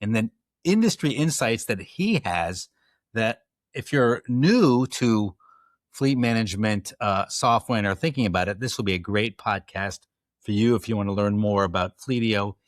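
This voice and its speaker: A man's voice: on the low side, lively intonation, normal speed. A man hosting a podcast, upbeat, asking questions and joking now and then. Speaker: podcast host. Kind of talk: casual podcast interview.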